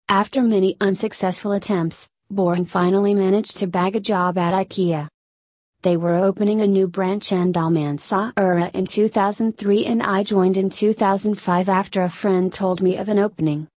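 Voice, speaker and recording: A woman reading a news feature aloud in an even, steady delivery. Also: mid-range voice, moderate pace, no echo. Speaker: news reader.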